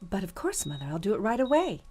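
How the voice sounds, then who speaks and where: Actress playing a expressive, dutiful, needling grown daughter. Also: female voice, mid-range voice, quick pace, slight background noise, close to an everyday voice. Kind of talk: audio suspense drama acting.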